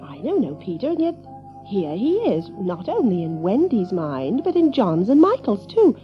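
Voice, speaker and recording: A woman's voice: medium pitch, wildly swooping pitch, normal speed, dull audio, faint music behind. A woman playing a sweet, genteel, wondering mother in a children's audio drama. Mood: puzzled.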